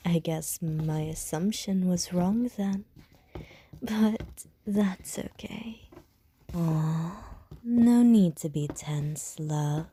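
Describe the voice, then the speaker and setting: A woman's voice: on the low side, extremely slow, warm tone. A woman voicing a flirtatious, predatory female vampire in an ASMR roleplay, teasing in sly, often whispered innuendo.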